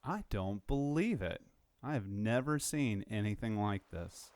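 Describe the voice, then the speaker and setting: Low-voiced, skeptical young man. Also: animated, a little slow, a little mellow, dry recording. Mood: astonished. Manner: skeptical, casual. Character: skeptical, bewildered young man, everyday helper. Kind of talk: audio drama acting.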